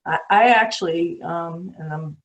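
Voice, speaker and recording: A woman's voice: on the low side, a little slow, slight background noise, low-quality recording. An older woman with a New York accent tells her story casually over a video call. She rambles and fills her sentences with ums and false starts. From New York City. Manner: rambling, many ums and restarts. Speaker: guest swimmer.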